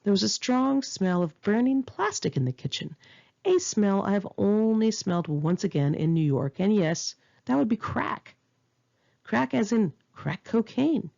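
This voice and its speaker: Female narrator for candid memoir, animated delivery with some vocal fry, slightly dull lo-fi sound. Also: medium pitch, a little slow. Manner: candid, informal, wry. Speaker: author reading own memoir aloud. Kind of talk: conversational memoir narration, read in one take.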